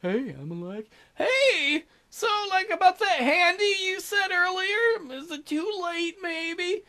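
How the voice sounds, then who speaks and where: A man's voice: very high voice, big pitch swings, a little slow, pushed and bright, pitched above the performer's own voice, exaggerated voice. A man voicing a goofy male henchman in a silly, whiny way.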